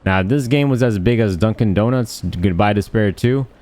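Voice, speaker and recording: A man's voice: fairly low pitch, normal speed, darkish tone. A man giving casual, unscripted gaming commentary in a blunt, rambling, slangy and crude way. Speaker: gaming video host.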